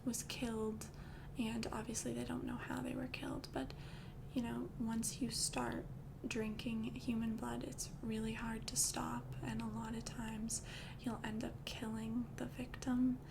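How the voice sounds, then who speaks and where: A young woman voicing a caring young vampire girlfriend, mostly whispering in a casual, rambling way, recorded close with loud hiss behind. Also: fairly high pitch, flat delivery, measured pace, vocal fry. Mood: gentle whisper. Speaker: ASMR creator. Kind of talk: ASMR roleplay.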